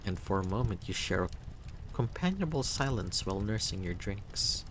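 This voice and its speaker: A man's voice: on the low side, animated, normal speed, a little hiss or hum. A man reading scripted narration from a story game aloud.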